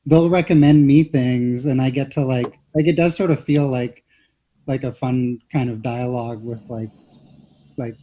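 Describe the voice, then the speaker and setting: A man speaking casually as a panelist, halting and circling back with lots of "like". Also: medium pitch, steady tone. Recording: slightly dull lo-fi audio.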